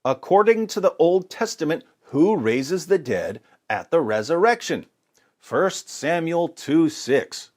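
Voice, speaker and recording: A man's voice: a little high, very animated, slow pace, darkish tone. A man giving polemical religious commentary with scripture readings, in an emphatic, sarcastic and theatrical way.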